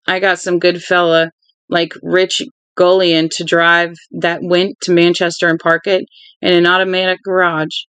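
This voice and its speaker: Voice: mid-range voice, monotone, moderate pace, fairly bright tone, clean tone, no room sound, lightly acted. A reader voicing a cocky, crude young older brother from a novel, slangy, blunt and teasing. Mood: cocky, scheming.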